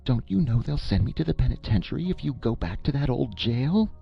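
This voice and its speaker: A man voicing a fretful, pleading old aunt in a high wavering voice in a storybook reading. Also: sing-song, fairly quick, gravelly, husky, exaggerated voice. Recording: lo-fi with faint music.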